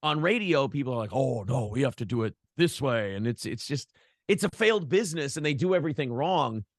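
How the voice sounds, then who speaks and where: A man's voice: mid-range voice, animated, fairly quick, no room sound, studio quality. An American man chatting casually and profanely as an interview guest, a broadcaster who tells stories by acting out imagined quotes. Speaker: podcast host being interviewed, former radio broadcaster.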